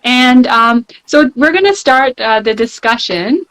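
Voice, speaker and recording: A woman's voice: on the high side, animated, a little slow, poor audio. A woman hosting a panel discussion, encouraging her guests and talking with many fillers. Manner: encouraging, filler-heavy, warm praise of guests. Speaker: panel host. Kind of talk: moderating an online panel.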